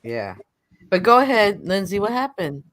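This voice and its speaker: A woman's voice: mid-range voice, sing-song, normal speed, a bit bright, dry recording, studio quality. A woman in casual group chat who encourages others to go on with their stories. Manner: encouraging prompts.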